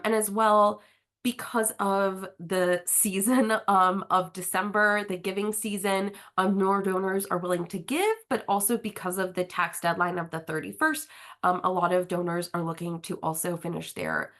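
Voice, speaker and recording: A woman's voice: fairly low pitch, fairly bright tone. A woman with a slight cold, a little sniffly, hosting and presenting an online webinar in a casual, friendly way with frequent fillers. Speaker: webinar host, marketing manager. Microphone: video call.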